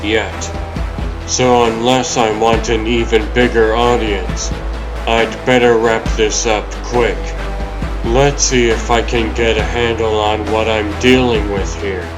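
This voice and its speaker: A man's voice: on the low side, flat delivery, slow, music in the background, rough recording. A man playing a wry, confident young superhero in a comic dub, with a dry, self-deprecating delivery. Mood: wry, musing. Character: wry, confident young male superhero narrating his own battle.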